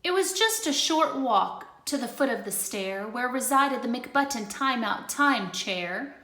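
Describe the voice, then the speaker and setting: A woman teacher reading a rhyming picture book aloud, expressive, moving between loud lines and hushed ones. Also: fairly high pitch, a little slow. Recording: roomy echo.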